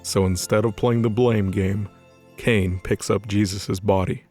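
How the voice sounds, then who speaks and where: A man narrates a dramatized fantasy tale from a script. His voice is on the low side, with music playing softly behind it. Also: fairly even intonation, unhurried.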